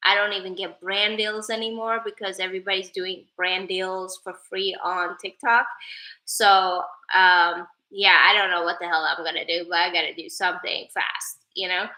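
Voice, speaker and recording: A woman's voice: fairly low pitch, level tone, moderate pace, sharp tone, roomy sound, poor audio. A woman from Sweden, whose first language is Persian, chatting casually on a livestream and thanking viewers by name. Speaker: livestreamer and advocate.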